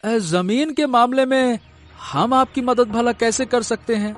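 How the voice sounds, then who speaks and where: Poor farmer father for a comic cartoon, male actor: squeaky-high, meek, deferential, easily flustered. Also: measured pace, faint music behind. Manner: pleading, stammering when flustered.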